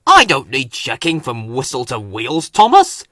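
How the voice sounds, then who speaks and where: A man's voice: medium pitch, huge pitch swings, measured pace, pushed and bright, dry recording, studio quality, full character voice. A man voicing a fussy, indignant silver steam engine in a children's storybook.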